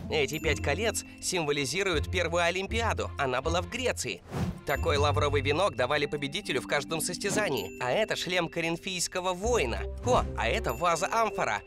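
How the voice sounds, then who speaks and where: A performer voicing a confident, impatient boy adventurer in a brash, self-assured way for an animated cartoon. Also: medium pitch, close to an everyday voice. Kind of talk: animated cartoon dub acting.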